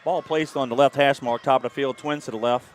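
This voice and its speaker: A man calling play-by-play for a high school football game, openly rooting for the home team. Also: fairly even intonation, brisk, a little hiss or hum. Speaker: play-by-play announcer. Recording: music in background.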